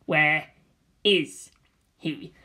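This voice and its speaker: A man's voice: on the high side, extremely slow, sharp tone, pitched below the performer's own voice, full character voice. A man voicing a menacing, gangster-like grown man who threatens and interrogates. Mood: threatening.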